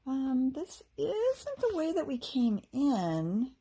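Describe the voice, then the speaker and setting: A woman's voice: high voice, huge pitch swings, slow, mellow, husky, pitched above the performer's own voice, heavily acted. A young girl adventurer from a children's storybook, acted by a woman speaks in a lightly raised voice. She is plucky but uncertain, with a hesitant, questioning way of talking. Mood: dismayed.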